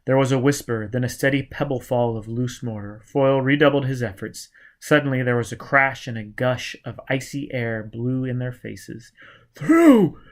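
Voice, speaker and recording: A man's voice: mid-range voice, fairly even intonation, moderate pace. A man reading a novel aloud as dramatic scripted audiobook narration. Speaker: audiobook reader.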